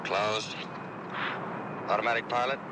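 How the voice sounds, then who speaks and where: An actor playing a tense, subdued military airman in mid-century TV drama style, speaking tersely and deliberately to his pilot. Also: lowish voice, steady tone, very noisy, natural voice.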